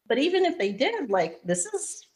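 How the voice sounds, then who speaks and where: A woman guest in an interview, telling lively personal stories with frequent restarts. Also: sits high, moderate pace. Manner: animated storyteller, frequent restarts. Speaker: podcast guest. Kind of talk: conversational interview.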